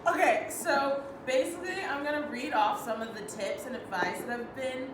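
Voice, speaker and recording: A woman's voice: high-pitched, moderate pace. A young woman from Los Angeles hosting a casual group chat with friends, reading out tips and laughing along. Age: young adult. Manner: chatty, laughing, reads tips aloud. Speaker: host. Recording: echoey room, background noise, far from mic.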